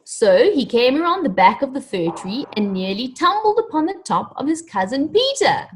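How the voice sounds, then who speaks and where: A woman reading a story aloud to children, animated and encouraging, pausing to ask them questions directly. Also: sits high, a little hiss or hum. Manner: animated, encouraging, addresses young listeners directly.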